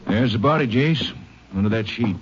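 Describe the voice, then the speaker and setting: Casting: man playing folksy Texas sheriff, deep and laconic, vintage radio western, muffled with some hiss. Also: normal speed, close to an everyday voice. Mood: matter-of-fact. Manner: folksy drawn phrasing, laconic. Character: plain-spoken, folksy male Texas county sheriff. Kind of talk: 1950s radio western drama acting. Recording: dull old radio recording with clear hiss.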